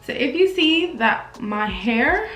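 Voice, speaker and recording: A woman's voice: high voice, animated, takes it slowly, fairly bright tone, roomy sound, poor audio. A woman in her twenties from Nigeria with a British accent, chatting candidly while she gets ready at her mirror. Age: 20s. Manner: chatty, candid, self-mocking asides. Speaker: vlogger. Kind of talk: casual get-ready-with-me chat. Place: home room, getting ready at a mirror.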